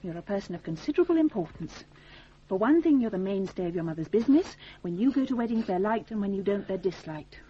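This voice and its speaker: An actress playing a brisk, forthright young woman who takes charge in a radio drama, firm and commanding. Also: mid-range voice, expressive, dark tone, close to an everyday voice. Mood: scolding, firm. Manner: commanding, firm, crisp retorts. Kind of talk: 1980s radio drama acting. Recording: dull, noisy old radio recording.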